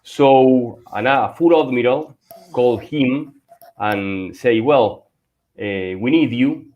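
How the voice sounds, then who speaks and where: A man's voice: medium pitch, slow, phone-quality sound. A man talking as a guest author on a video call, telling an anecdote with many fillers. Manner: storytelling, filler-heavy. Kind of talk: casual chat on a video call.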